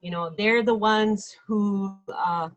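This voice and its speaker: A middle-aged woman from South Dakota introducing herself as an election candidate in a live video stream, speaking in an earnest, measured way with frequent ums and pauses. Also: medium pitch, steady tone, dull audio. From Lake Traverse Reservation area, South Dakota. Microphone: webcam or phone, live video stream.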